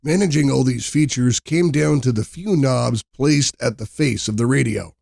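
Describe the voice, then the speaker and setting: Male narrator for scripted explainer countdown. Relaxed, gravelly voice, measured delivery, very dry sound. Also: mid-range voice, no room sound. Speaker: narrator of product countdown video.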